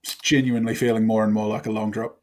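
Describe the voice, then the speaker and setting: A man's voice: lowish voice, level tone, quick pace. A man playing a tabletop game, improvising casually in a tentative, wry manner. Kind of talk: casual improvised role-play chat.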